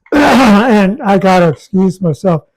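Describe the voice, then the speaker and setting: Slow, hoarse man with a raspy voice. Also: sits high, expressive, poor audio. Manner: halting, repeats words, slow and deliberate. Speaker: guest sharing a health update. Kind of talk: casual conversation.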